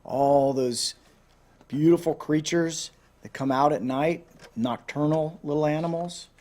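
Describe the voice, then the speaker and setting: A man reading a children's story aloud in an expressive storytelling style, with casual asides to listeners. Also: fairly high pitch, a little slow. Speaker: storybook reader.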